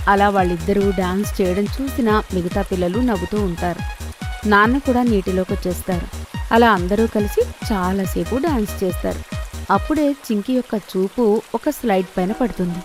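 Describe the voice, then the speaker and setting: Woman narrating over clear background music. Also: mid-range voice. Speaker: storyteller, narrator. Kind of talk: scripted children's story narration.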